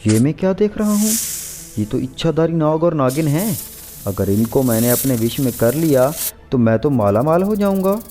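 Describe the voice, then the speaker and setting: A man as an excitable, mellow snake charmer. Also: fairly high pitch, normal speed, soft background music. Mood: gleeful greed. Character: eager, money-hungry male snake charmer, softening for family.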